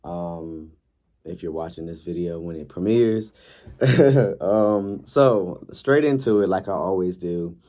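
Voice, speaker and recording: A young man vlogging in a laid-back, chatty way, sounding a bit congested and raspy from a night of yelling. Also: low-pitched, slow. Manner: laid-back, chatty, frequent ums and likes. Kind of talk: casual vlog talk, reading posts aloud.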